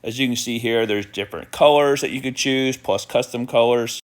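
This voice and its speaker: A man's voice: mid-range voice, normal speed. A man narrating an informal product tour in an approving, enthusiastic manner, pointing things out to viewers.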